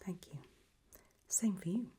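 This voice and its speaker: Woman doing a slow whispery gothic lady, doting and macabre, gracious hostess asmr roleplay. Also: medium pitch. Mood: languid, doting. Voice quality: soft, breathy whisper.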